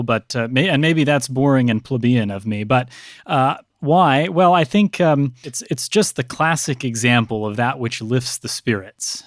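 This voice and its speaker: A man with a mid-pitched voice answers as a priest guest on a podcast. He is measured, articulate and bookish, recorded close and dry with no room sound. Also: dry recording. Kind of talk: thoughtful interview answer.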